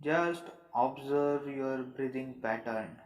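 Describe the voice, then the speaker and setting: A man speaks as a meditation guide in a medium-low, everyday male pitch. His delivery is very slow and soothing, with long pauses between short instructions. The sound is noticeably roomy and echoing.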